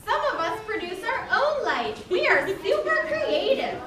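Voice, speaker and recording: A woman voicing a small, proud glowing deep-sea creature in a children's puppet show. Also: very animated, measured pace, a loud crowd, full character voice. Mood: proud, bright. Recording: very echoey hall, distant, loud audience.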